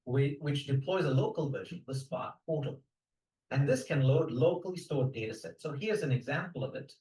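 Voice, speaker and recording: Guy pitching a software tool, talks steady w some ums, echoey room, kinda far from the mic. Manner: technical, steady with some fillers and restarts. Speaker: codeathon team presenter. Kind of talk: recorded project pitch.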